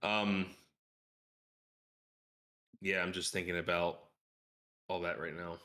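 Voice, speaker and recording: A male podcast guest talks games in a casual, conversational way. His voice sits fairly low yet has a bright, forceful edge, and he makes his points with emphasis. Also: little pitch movement, slow. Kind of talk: casual gaming podcast chat.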